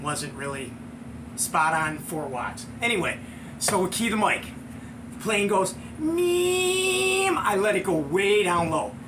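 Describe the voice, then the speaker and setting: Male American storyteller, middle-aged, high voice, chatty and excitable, noisy home-style recording. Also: slow pace. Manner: excitable, slangy, chuckling storyteller. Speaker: show host and storyteller. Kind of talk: animated casual anecdote, with acted-out sound effects.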